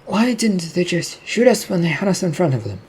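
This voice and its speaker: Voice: mid-range voice, sing-song, moderate pace, darkish tone, breathy edge, pitched above the performer's own voice. A narrator voicing an exasperated, incredulous woman who doubts every plan in an audio story. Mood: doubtful, exasperated.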